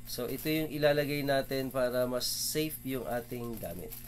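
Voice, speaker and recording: A middle-aged man with a Filipino accent, casually narrating an unboxing at a table indoors. He talks haltingly, with many fillers, and mixes English with Filipino. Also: mid-range voice, slight background noise. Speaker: motovlog host.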